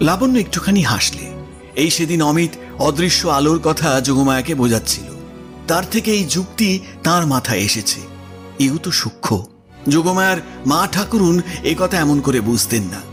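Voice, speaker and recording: A man's voice: fairly high pitch, animated, brisk, faint music behind. A man narrating a literary story from a script, as the narrator of an audio drama.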